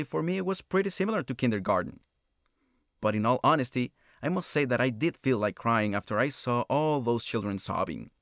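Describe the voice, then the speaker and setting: A man's voice: medium pitch, fairly bright tone, no room sound, clean studio sound. An actor playing a pleasant man chatting about everyday matters in a scripted listening exercise. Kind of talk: scripted monologue and dialogue.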